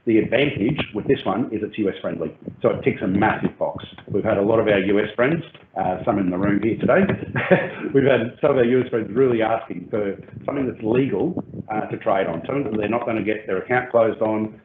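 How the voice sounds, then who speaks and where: A man with a lowish voice explains trading details at length, pausing often on ums and restarting his phrases. His voice comes through a thin, phone-like call line. The sound is roomy, with noise underneath. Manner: hesitant, many ums and restarts. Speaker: crypto project team lead. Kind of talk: explanatory AMA talk. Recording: tinny phone-like call audio, roomy and noisy.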